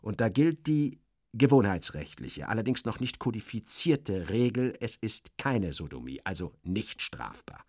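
A man's voice: on the low side, sing-song, measured pace, no room sound, studio quality. A man playing a fussy, obsequious station director, an officious bureaucrat who hesitates and corrects himself, in a satirical audio reading. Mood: pedantic explaining.

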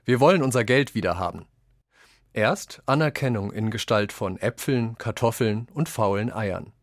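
Lower-voiced man reading quotations, dry studio. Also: moderate pace, no echo. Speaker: reader of quotations in a radio essay. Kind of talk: scripted literary reading.

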